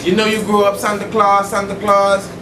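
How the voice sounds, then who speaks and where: A man's voice: high-pitched, completely flat delivery, moderate pace. A man giving an informal esoteric lecture as a preacher, emphatic and didactic, repeating points and asking rhetorical questions. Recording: distant mic, echoey room, noisy hum.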